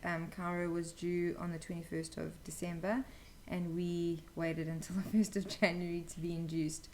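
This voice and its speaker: A woman's voice: lowish voice, flat delivery, normal speed, darkish tone, a little hiss or hum. A young woman telling a personal story as an interview guest, casually and candidly, with some hesitation. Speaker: interview guest, new mother.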